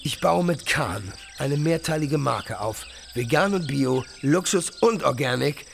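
A man's voice: a little high, lively intonation, measured pace, vocal fry, a little hiss or hum. A man playing a slick, self-promoting influencer entrepreneur in a satirical audio drama, bragging and flirty, dropping English buzzwords. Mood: smug, preachy. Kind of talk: satirical podcast radio drama.

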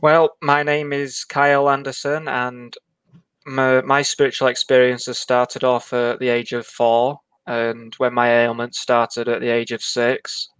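A man, a panelist on a video call, speaking slowly and haltingly. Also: medium pitch, flat delivery, a bit bright, clear, resonant tone, no room sound. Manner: slow and halting. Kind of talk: online panel discussion.

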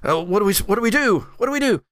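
A man's voice: sits high, lively intonation, fast talker, a bit bright, no echo, studio quality, pitched above the performer's own voice. A man voicing a panicky rabbit-headed henchman creature in a tabletop game, frantic and repetitive. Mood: panicked. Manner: frantic, repeating himself.

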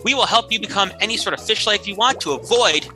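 A slick, upbeat ad salesman played by a man pitches briskly and emphatically. His high voice is very bright and sharp, pushing every selling line with persuasive force over faint music. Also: lively intonation, heavily acted. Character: upbeat slick surgery salesman in a mock commercial.

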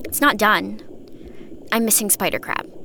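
A capricious, sullen girl in an audio drama. Her delivery is slow and grudging, in short replies at a middling pitch. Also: fairly even intonation, creaky, bright, forceful tone, slight background noise. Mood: sleepy, distracted. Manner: terse, grudging. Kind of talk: naturalistic audio drama acting.

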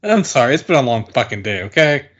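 A man chats through a wrestling watch-along in a loose, joking way, full of swearing and filler words. His voice sits at an ordinary middle pitch. The sound is a bit muffled and lo-fi. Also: very animated, quick pace, a bit bright, slightly rough. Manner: laughing, swearing, rambling with many likes. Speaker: podcast co-host. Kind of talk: casual watch-along commentary.

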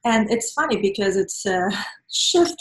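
A woman with a Venezuelan Spanish accent tells her story as an interview guest. Her voice sits at a middling pitch and she speaks in an earnest, reflective way. The sound is roomy, with noticeable echo. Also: lively intonation, a little slow. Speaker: interview guest, nonprofit professional. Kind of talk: conversational interview, personal storytelling.

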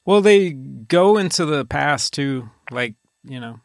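A man's voice: fairly high pitch, expressive, slow, gravelly, no room sound, studio quality. A man co-hosting a podcast, chatting casually and joking dryly. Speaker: podcast co-host. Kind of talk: casual banter.

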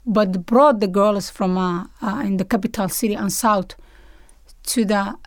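A woman's voice: mid-range voice, a little slow, no echo, clean studio sound. A woman from Somalia with a Somali accent, speaking earnest non-native English in short phrases as she tells her story in an interview. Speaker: interview guest, basketball coach and organiser.

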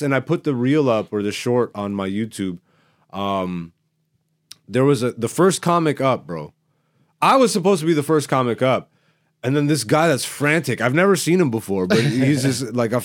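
A male stand-up comic talks loosely on his podcast in an average-pitched voice. He tells stories with emphatic, slangy phrasing and plenty of profanity, keeping it conversational. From born in Greece, Ethiopian by blood. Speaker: stand-up comedian and podcast host. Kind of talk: casual, animated podcast chat.

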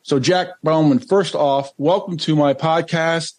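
A man's voice: a little high, fairly even intonation, unhurried, a little mellow. A man hosting an interview podcast in a casual, conversational style.

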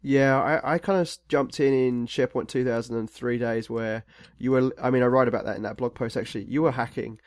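A man's voice: medium pitch, steady tone, brisk, a little mellow, no echo, studio quality. A man hosting a tech podcast, talking in a rambling, casual way with many fillers and restarts. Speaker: podcast host.

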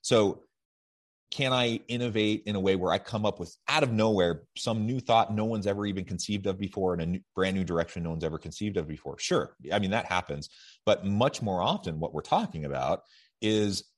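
A man's voice: lowish voice, brisk. A man hosting a podcast, speaking as an interviewer and narrator.

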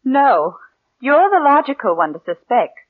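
An American actress playing a cold, calculating murderess who passes as meek, in controlled, deliberate mid-century radio suspense style. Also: female voice, high-pitched, sing-song, no echo, exaggerated voice. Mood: controlled, threatening. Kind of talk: 1940s-50s American radio suspense acting and narration. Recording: muffled vintage radio recording.

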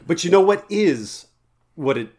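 A man's voice: a little high, animated, unhurried, a little mellow. A man co-hosting a podcast, telling jokey, rambling stories in casual banter. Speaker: podcast co-host.

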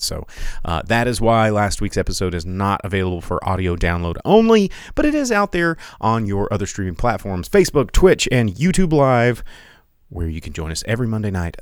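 A man's voice: low voice, no echo, clean studio sound. A man hosting a podcast livestream in a casual, rambling way, with lots of ums and restarts and a loose, jokey tone. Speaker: podcast host.

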